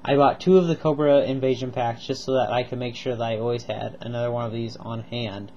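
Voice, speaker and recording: A man's voice: mid-range voice, steady tone, fairly quick, a little mellow, highs cut off. A man with a cold reviewing toy figures in a casual home video, rambling and enthusiastic with lots of ums and repeats. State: stuffed up with a cold, coughing.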